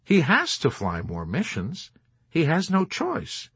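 A man's voice: fairly high pitch, wildly swooping pitch, takes it slowly, a bit bright, husky, no room sound, voice pushed up, full character voice. A man voicing a scornful, bossy army colonel with a mocking, commanding manner in an audiobook.

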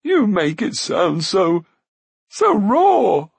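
A man's voice: high-pitched, huge pitch swings, very slow, vocal fry, slightly rough, dry recording, pitched above the performer's own voice, full character voice. A man voicing a cowardly, self-pitying little giant in a fantasy audiobook, pleading and wheedling plaintively. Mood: hurt, plaintive.

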